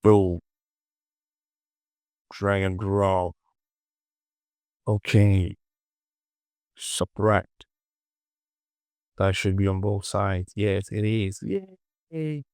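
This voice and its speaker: Slow-talking man with a gravelly, low voice. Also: a little mellow, no room sound, studio quality. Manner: muttering short clipped phrases to himself. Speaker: 3D modeling tutorial presenter. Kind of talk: casual screen-recorded software tutorial, thinking aloud.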